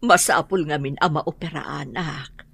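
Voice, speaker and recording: A lowish, husky female voice plays a sick, weary old mother in a radio drama. She sounds frail and wounded, halting as she speaks, her breathy words plaintive. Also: fairly bright tone, exaggerated voice. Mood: pained, resigned. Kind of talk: Ilocano radio drama acting.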